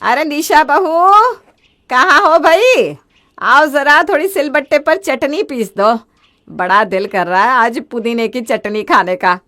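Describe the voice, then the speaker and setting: Woman playing a nagging older mother-in-law, animated and bright. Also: high-pitched, takes it slowly, voice pushed up, heavily acted. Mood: demanding. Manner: grumbling, scolding, exclamatory. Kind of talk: acted Hindi family moral story.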